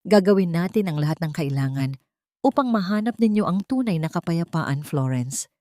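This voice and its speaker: Female narrator as devoted male attorney, gentle, sincere, soft-spoken, low for a woman, dry story recording. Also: expressive, normal speed, no echo, natural voice. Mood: devoted, reassuring. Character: gentle, loyal male lawyer, quietly devoted. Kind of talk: storybook drama dialogue.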